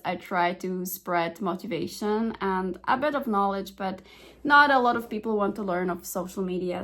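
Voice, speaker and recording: A woman's voice: mid-range voice, fairly bright tone. A woman in her twenties whose first language is Slovak, chatting upbeat to her phone camera in a casual day-in-the-life vlog from her living room. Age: 20s. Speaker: vlogger and software engineer. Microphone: phone camera at arm's length.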